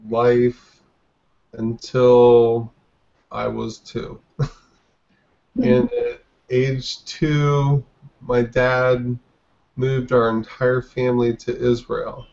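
A man's voice: mid-range voice, gravelly. A man in his forties, a guest on a video call interview, talking in a hesitant way with restarts. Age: 40s. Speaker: guest artist. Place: dim room indoors. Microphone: webcam on video call. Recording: dull lo-fi webcam audio.

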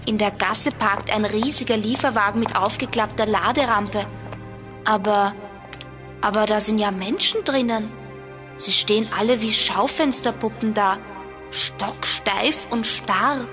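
A woman playing a plucky, inquisitive girl detective in an expressive children's radio play. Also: medium pitch, a little slow, music in the background, lightly acted. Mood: tense, watchful. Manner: animated, expressive.

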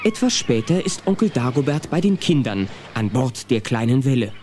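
A man's voice: mid-range voice, very animated, normal speed, a little mellow. A man narrating a children's audio play in a scripted storytelling style. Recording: music behind the narration.

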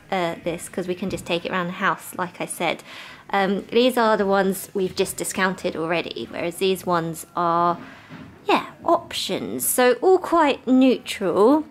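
A woman's voice: medium pitch, fairly bright tone, a little hiss or hum. A British woman in her thirties chatting casually and informally to camera as a home vlogger, rambling and correcting herself as she goes. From United Kingdom. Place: bare rooms of a house under renovation, indoors. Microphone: handheld camera at arm's length. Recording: echoey empty rooms.

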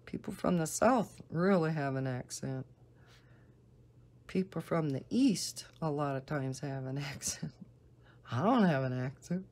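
Northern american lady w a low soft voice, chatting casually, really slow n unhurried. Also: lively intonation. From northern United States. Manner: unhurried, deliberately slow talking. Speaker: coloring video host. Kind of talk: casual rambling chat while coloring.

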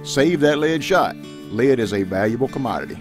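A man's voice: medium pitch, lively intonation, moderate pace, music in the background. A middle-aged man giving casual how-to vlog talk, folksy and speaking directly to viewers. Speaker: outdoors and shooting vlogger.

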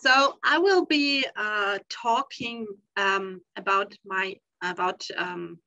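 A woman's voice: medium pitch, gravelly, sharp tone, no room sound. A woman from Austria with an Austrian German accent, giving an academic talk on a video call, thoughtful and full of ums. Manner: thoughtful, many ums and uhs. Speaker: conference panelist. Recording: dull lo-fi video call audio.

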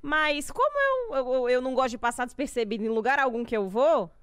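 A woman in her twenties with a drawling way of talking, telling stories as a guest in a small podcast studio on a boom mic, lively, slangy and animated. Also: fairly quick, smooth, no room sound. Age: 20s. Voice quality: drawling speech. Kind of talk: casual storytelling chat. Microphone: studio boom mic with headphones.

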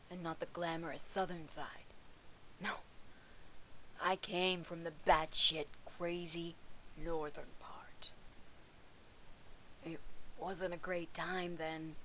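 A towering, foul-mouthed female pro wrestler who narrates her own legend. She drawls along at an unhurried pace in a slightly low register, dropping sarcastic asides with a cocky, irreverent edge. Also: fairly bright tone, slight background noise, low-quality recording. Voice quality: wry, irreverent, profane. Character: brash seven-foot female pro wrestler, crude and cocky. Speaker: wrestler telling her story. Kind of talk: scripted web-show monologue.

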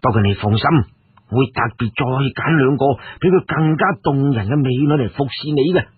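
A man's voice: medium pitch, animated, a little fast, husky, no echo. A man voicing an apologetic older warrior in a storybook, subdued and placating. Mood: regretful, placating. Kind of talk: storybook character dialogue. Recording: muffled phone-like radio sound.

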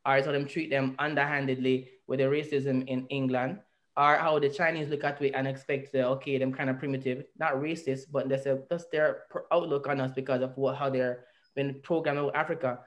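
A man's voice: mid-range voice, level tone, brisk. A man with a Jamaican accent telling his story at length as an interview guest, earnest and reflective, on a video call from a room at home. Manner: earnest, reflective, speaks at length with rising emphasis. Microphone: video call webcam.

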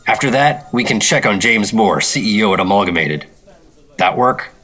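Radio-play lead: firm American government agent, 1950s, crisp bright tone, authoritative, measured delivery. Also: male voice, lowish voice, expressive. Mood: brisk, businesslike. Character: firm, dutiful 1950s government secret operative. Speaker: lead actor and narrator. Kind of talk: 1950s radio drama acting and narration.

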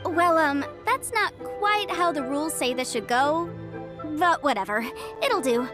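A woman playing a brisk, assertive young legal advisor in a video game, articulate and formal. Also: high-pitched, lively intonation, music in the background. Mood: breezy. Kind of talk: video game character dialogue.

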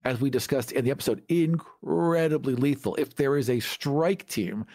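A man speaks as a podcast co-host in an informal gaming discussion. His voice sits at a middle pitch, and the recording is dry with no room sound. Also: normal speed, a little mellow, no room sound. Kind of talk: casual tabletop gaming discussion.

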